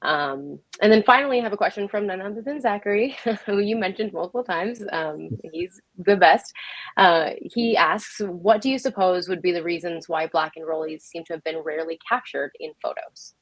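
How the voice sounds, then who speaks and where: A woman's voice: on the low side, moderate pace, no room sound, rough recording. A woman hosting an interview in a casual, informal way, relaying questions from the audience. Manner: informal, chuckles often. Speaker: host.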